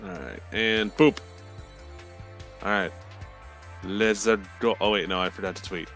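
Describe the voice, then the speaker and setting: A man's voice: low voice, measured pace, a bit bright, soft background music. A man chatting casually as a game streamer, teasing and swearing freely. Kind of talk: casual chat while livestreaming a game. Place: live game stream, music behind.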